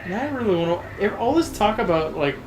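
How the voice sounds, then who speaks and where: Casual joking male gamer co-host, animated delivery, roomy sound with game music underneath. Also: high voice, fast talker, crowd noise. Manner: rambling, joking, lots of fillers. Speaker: let's play co-host. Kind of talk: casual gameplay commentary.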